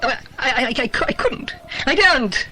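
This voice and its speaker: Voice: sits high, expressive, normal speed, pushed and bright, slight background noise, heavily acted, low-quality recording. A performer playing a timid, panicky man in a children's audio adventure, stammering fearfully. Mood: terrified. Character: timid, stammering, panicky adult male non-swimmer. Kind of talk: dramatised children's audiobook acting.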